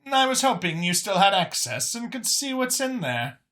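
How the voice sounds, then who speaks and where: A man's voice: high voice, big pitch swings, normal speed, bright, forceful tone, voice pushed up, heavily acted. A man voicing a poised, friendly alien woman in a narrated science fiction story, amused and insistent. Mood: eager.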